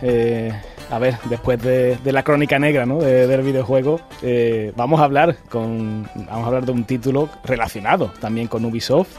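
A man's voice: medium pitch, over music. Man hosting gaming radio. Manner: measured, with fillers like "eh" and "bueno". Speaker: radio host. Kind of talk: radio announcement and news reading.

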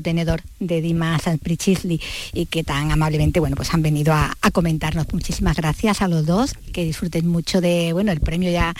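A woman's voice: lowish voice, darkish tone. A woman presenting a radio culture program, conversational and linking segments with fillers.

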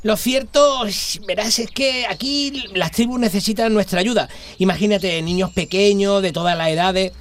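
Man playing an earnest, high-voiced idealist brother. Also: moderate pace, close to an everyday voice. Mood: upbeat, earnest. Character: earnest, idealistic brother, aid worker in the jungle. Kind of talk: radio play acting. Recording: faint noise, jungle birdsong.

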